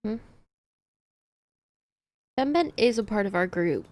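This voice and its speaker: A woman's voice: medium pitch, animated, takes it slowly, darkish tone, no echo. A woman streamer chatting casually to herself while she plays a game. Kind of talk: casual gameplay commentary.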